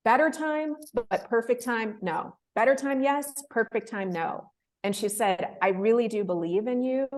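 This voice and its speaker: A woman in her thirties, a guest in an interview, telling her story in a casual, animated way, laughing often and acting out the voices of people she quotes. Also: fairly high pitch, normal speed. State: emotional, close to tears. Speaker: interview guest, fellowship winner.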